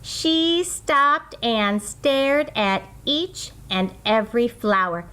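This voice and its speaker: A woman reading a children's story aloud in an expressive, deliberate, sing-song way. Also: on the high side, smooth.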